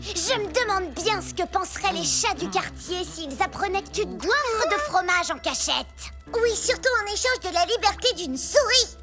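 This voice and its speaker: A woman's voice: very high voice, animated, normal speed, music in the background. A woman voicing a cheeky, scheming little female cartoon animal with a sassy, needling way of talking. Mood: sly, teasing.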